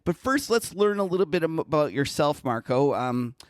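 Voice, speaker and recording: A man co-hosting a casual interview podcast, talking in an easygoing, halting way that often restarts sentences. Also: fairly high pitch, big pitch swings, no echo, clean studio sound.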